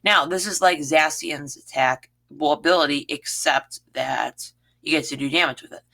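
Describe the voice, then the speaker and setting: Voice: mid-range voice, moderate pace, creaky, bright, forceful tone. A YouTuber with a scratchy throat, chatting through a casual trading card set review and repeating words for emphasis.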